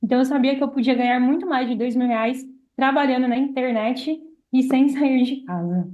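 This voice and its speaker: A woman's voice: sits high, moderate pace. A young Brazilian woman telling her own story casually as a podcast guest. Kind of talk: casual personal storytelling in conversation. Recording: slightly dull, lo-fi podcast audio.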